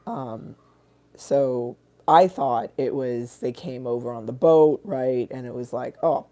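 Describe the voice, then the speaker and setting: Very low-voiced, mellow woman rambling. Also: slow. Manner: rambling, candid, swears often. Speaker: artist and livestream host. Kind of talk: casual livestream chat.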